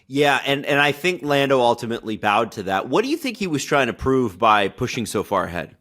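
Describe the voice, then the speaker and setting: A man's voice: mid-range voice, sing-song, fairly quick, a bit bright. A man hosting a sports talk podcast, setting up topics and putting questions to a guest. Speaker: podcast host asking questions.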